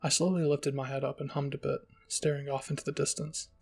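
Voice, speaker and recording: A man's voice: medium pitch, flat delivery, fairly quick, gravelly. A man narrating a first-person fan-fiction story as a roleplay reading. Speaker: story reader.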